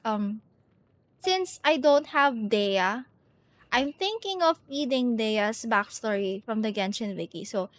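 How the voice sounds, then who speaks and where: A woman's voice: on the high side, no room sound. A woman hosting a livestream, talking informally and thinking aloud, moving between casual chat and reading stories aloud. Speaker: livestream host. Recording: music behind the voice. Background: music.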